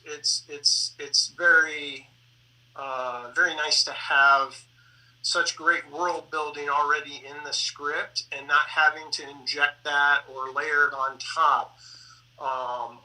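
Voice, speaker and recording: A middle-aged man talks off the cuff about his creative work. His voice is strikingly bright and forward, a little on the high side, and picked up at some distance from the microphone. He proceeds haltingly, often pausing and restarting his phrases. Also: steady tone. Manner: halting, broken phrasing with many pauses and self-corrections. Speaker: sound designer and composer for radio plays. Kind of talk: conversational panel talk about theater production.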